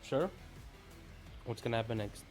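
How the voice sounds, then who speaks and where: A man's voice: mid-range voice, normal speed. Casual male game streamer over music. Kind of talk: casual gameplay commentary.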